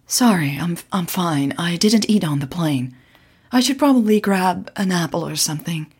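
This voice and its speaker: A woman's voice: fairly low pitch, lively intonation, moderate pace, darkish tone, slightly rough. A woman voicing a flustered woman who pretends to be fine in an audio story, hesitant and apologetic. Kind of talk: audio story character dialogue.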